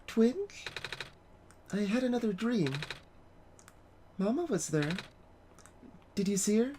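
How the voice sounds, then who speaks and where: A woman's voice: fairly high pitch, sing-song, slow pace, dark tone, slight background noise. A woman voicing an innocent, chatty child in a video game, asking things in an earnest, inquisitive way. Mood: wistful. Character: innocent, chatty child who dreams of pancakes. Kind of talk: voiced video game dialogue.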